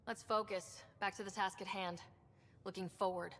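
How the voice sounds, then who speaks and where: An actress playing a composed, firm young explorer leader in a video game cutscene. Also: female voice, steady tone, normal speed, fairly bright tone. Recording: slight reverb, faint rumbling noise.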